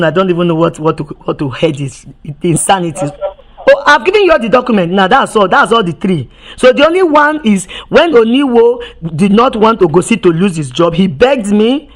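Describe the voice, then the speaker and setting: An impassioned woman activist testifies at length. She speaks emphatically, piles up rhetorical questions and often trips over repeated words before pushing on. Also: on the low side.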